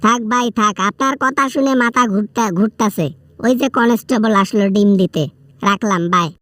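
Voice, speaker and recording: Man acting as whiny frightened male prisoner, Bangladeshi accent, higher-pitched, stammering, pleading, comic skit, dry close sound. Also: moderate pace, fairly bright tone, no room sound. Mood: scared, flustered. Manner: pleading, stammering, repeating himself. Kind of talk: comic prank-call skit.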